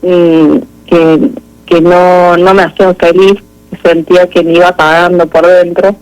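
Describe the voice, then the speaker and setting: A woman with an Argentine Spanish accent giving a halting, introspective personal account as an interview guest. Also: fairly low pitch, level tone, a little mellow, rough recording.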